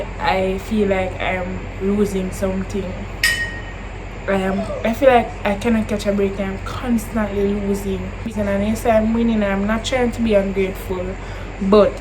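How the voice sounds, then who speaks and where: A young woman of twenty with a Jamaican accent, talking through viewer questions in a relaxed vlog. Her voice sits at a middle pitch, and she drifts easily into Creole phrasing. A faint hiss of noise runs under her. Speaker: YouTuber answering viewer questions. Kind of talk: casual Q&A chat to camera.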